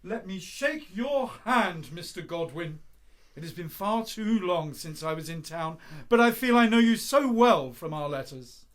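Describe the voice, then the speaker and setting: Man acting a lively, charming young poet. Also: high-pitched, measured pace. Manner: courteous, theatrical, flowery. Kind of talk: radio play period acting.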